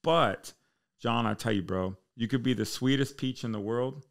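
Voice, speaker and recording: A man's voice: mid-range voice, normal speed, gravelly. An American man chatting casually and candidly as a podcast guest, with slangy, bro-heavy speech. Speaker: podcast guest, military fire chief.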